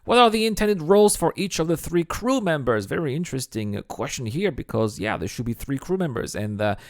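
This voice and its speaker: A man's voice: fairly high pitch, lively intonation, brisk, no echo. Man, dry close gaming commentary. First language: French. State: sick, voice strained from illness. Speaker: gaming video host. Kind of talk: scripted Q&A commentary over game footage.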